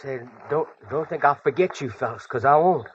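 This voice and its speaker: An actor playing a naive young backwoods prospector in a radio western, guileless and excitable, with a rural American accent. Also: male voice, mid-range voice, brisk, a little hiss or hum, lightly acted. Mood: grateful, earnest. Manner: excitable, guileless, rustic grammar. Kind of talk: old-time radio western acting. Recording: dull vintage radio recording.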